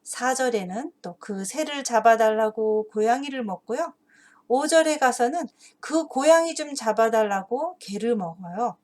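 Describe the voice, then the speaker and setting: A woman explaining a children's story and its moral as a teacher, earnest and deliberate, phrase by phrase. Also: on the high side, expressive, a little mellow. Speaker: host and teacher of an English Bible story channel for parents.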